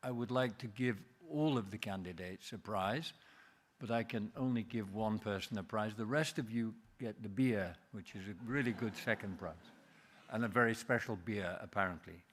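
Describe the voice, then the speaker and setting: A man with a Yorkshire accent speaks in a low register. He is a jury member giving a speech at an award ceremony, presenting a prize to a scientist. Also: normal speed, a bit bright. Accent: Yorkshire English. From Yorkshire, England.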